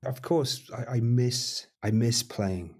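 Middle-aged male musician, husky voice, loose rambling interview talk. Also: mid-range voice, slow pace, a little mellow. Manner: rambling, self-deprecating, frequent restarts. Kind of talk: casual interview chat.

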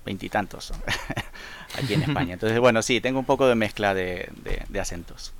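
A man's voice: on the low side, normal speed. A man in his forties with an Argentine accent blended with Spain's Spanish, hesitant and full of fillers as a podcast guest. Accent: Argentine Spanish, softened by years in Spain. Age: 40s. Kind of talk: podcast interview.

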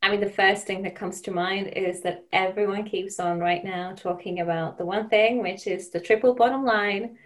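Woman on a podcast, pretty flat tone, thinks out loud with lots of ums. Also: mid-range voice, normal speed. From Finland. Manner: thoughtful, many fillers and restarts. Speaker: podcast guest, anthropologist. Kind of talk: conversational interview.

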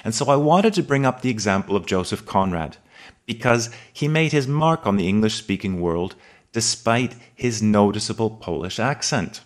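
Low-voiced man narrating an English podcast. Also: lively intonation. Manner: measured, articulate, precise delivery. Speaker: podcast host teaching advanced English.

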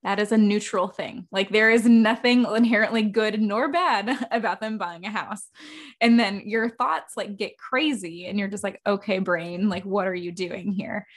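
A woman in her thirties hosting a podcast, chatting casually and self-deprecatingly in quick run-on sentences. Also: mid-range voice. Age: 30s. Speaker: podcast host. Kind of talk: casual interview chat.

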